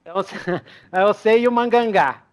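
A man's voice: sits high, unhurried. A young man, running a tabletop game as game master and improvising the story as he goes, often with a chuckle.

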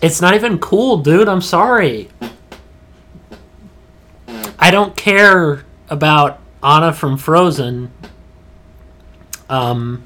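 A man's voice: fairly high pitch, slow pace, bright, forceful tone. A man co-hosting a casual gaming podcast, talking in a slangy, blunt and scornful way. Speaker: podcast co-host.

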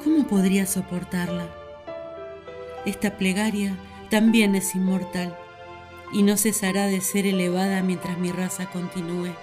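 A woman plays a loving, thoughtful wife delivering a diary monologue in a radio play. She sounds earnest and reflective, in a mid-range voice with measured phrasing. Faint music plays underneath. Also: medium pitch, little pitch movement, lightly acted. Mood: solemn, devoted.

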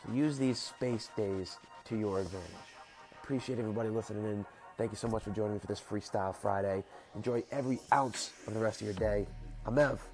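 A man's voice: lowish voice, darkish tone. Stuffy-nosed man with music behind. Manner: slow, careful enunciation. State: stuffy and nasal from sinuses. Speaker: daily show host. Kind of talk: casual motivational monologue.

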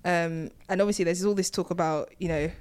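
A young British woman of Nigerian heritage co-hosting a casual podcast, asking teasing questions and trailing off quietly at the ends of her points. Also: fairly low pitch, fairly even intonation, brisk, clean tone. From Britain and Nigeria. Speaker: podcast co-host. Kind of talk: casual chat.

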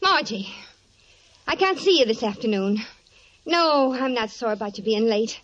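A woman's voice: a little high, animated, normal speed, a bit bright. An actress playing a flirty, anxious married woman at a bar in 1950s radio drama style. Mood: panicked. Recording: dull, muffled old radio recording.

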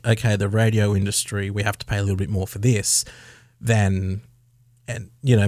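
An Australian man with a fairly low voice talks through his points on a radio show. He is measured and conversational, qualifying himself as he goes in long winding sentences. The sound is dry and close on a studio mic. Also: darkish tone, dry recording. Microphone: close studio radio microphone.

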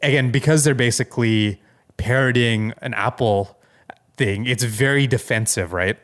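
A man's voice: medium pitch, normal speed, a bit bright. A man co-hosting a podcast in a casual, rambling tech chat, informal and full of loose asides. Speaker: podcast co-host.

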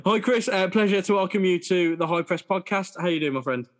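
A man's voice: high-pitched, a little fast, very noisy. A man hosting a casual football podcast, chatty and jokey, with lots of fillers. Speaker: podcast host.

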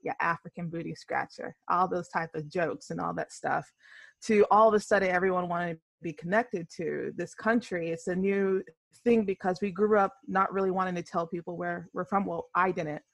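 A woman's voice: on the low side, steady tone, moderate pace, creaky, dry recording. A woman with an American accent, giving a conversational personal account as a discussion panelist. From United States.

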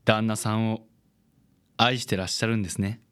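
A man playing a vain, suave, self-styled handsome investigator in a radio drama, with smooth, theatrical self-assurance. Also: lowish voice, flat delivery, a little fast, fairly bright tone, lightly acted. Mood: gentle, suave.

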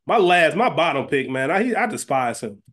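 A man's voice: a little high, big pitch swings, moderate pace, fairly bright tone. An American man co-hosting a podcast in casual, bantering chat. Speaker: podcast co-host.

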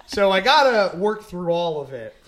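A man's voice: high-pitched, sing-song, normal speed, a crowd in the background. A man hosting a casual comedy podcast, rambling through stories and laughing at his own jokes. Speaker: podcast host.

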